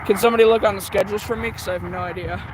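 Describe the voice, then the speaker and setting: A man giving casual live commentary while tuning a radio, talking haltingly as if muttering to himself. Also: high voice, fairly even intonation. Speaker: shortwave radio livestream host. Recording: loud background radio static and noise.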